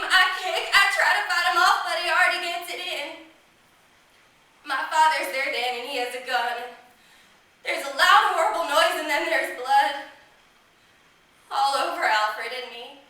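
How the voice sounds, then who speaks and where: A woman performing a dramatic stage monologue as a woman reliving girlhood memories, childlike and candid, with emphatic delivery, drawn-out words and pauses. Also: sits high, sharp tone. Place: small theatre stage. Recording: echoey theatre, recorded from a distance.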